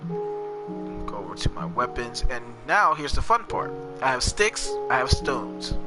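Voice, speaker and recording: A man's voice: medium pitch, big pitch swings, a little slow. A man from New Jersey with a New Jersey accent, rambling casually as he narrates his own gameplay and chats with viewers. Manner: rambling, chatty, talks to chat while playing. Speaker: gamer streamer. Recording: game music behind voice.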